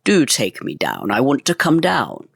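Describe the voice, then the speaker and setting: Guy doing a little mischievous storybook boy, pitched up, lively, scratchy, dry sound. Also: unhurried, gravelly, slightly rough, no echo, full character voice. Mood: cheeky. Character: tiny cheeky boy, bold and mischievous.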